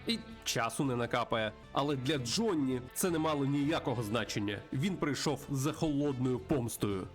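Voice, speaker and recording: Man as Western game narrator: solemn, dramatic, mid-pitched, music bed. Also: animated, normal speed, natural voice. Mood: portentous. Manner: dramatic, portentous. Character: solemn epic Western storyteller.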